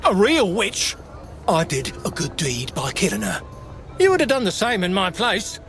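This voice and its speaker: An actor playing an anxious, servile medieval merchant in a video game, with a pleading, excitable manner. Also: male voice, sits high, moderate pace, heavily acted. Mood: pleading, defensive. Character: servile, self-justifying medieval merchant father, anxious male. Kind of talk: video game cutscene acting. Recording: music behind the voice.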